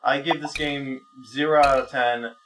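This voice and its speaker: A man hosting a gaming video, chatting casually in a rambling, halting, foul-mouthed way while playing. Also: mid-range voice, fairly even intonation, far from the mic, background noise. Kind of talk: rambling casual let's play chat.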